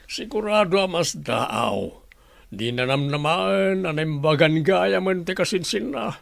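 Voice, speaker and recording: A man's voice: fairly high pitch, animated, unhurried, gravelly, exaggerated voice. A man with an Ilocano accent playing an older, grateful grandfather in a radio drama, speaking earnestly. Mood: calm. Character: kindly grandfather, grateful and warm-hearted.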